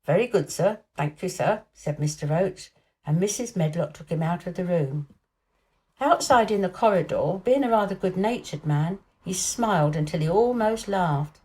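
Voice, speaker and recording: A woman's voice: on the low side, expressive, moderate pace, mellow, rough recording. A woman reading a children's storybook aloud as a scripted narration. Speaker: storybook reader.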